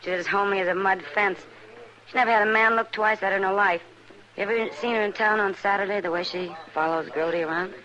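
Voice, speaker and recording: A woman's voice: medium pitch, fairly even intonation, quick pace, bright, forceful tone, lo-fi sound, close to an everyday voice. An American actress playing a worldly, frank, teasing saloon woman in a radio western, talking in a knowing, folksy way. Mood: knowing, exasperated. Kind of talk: old-time radio western acting. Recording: old radio broadcast with crowd murmur.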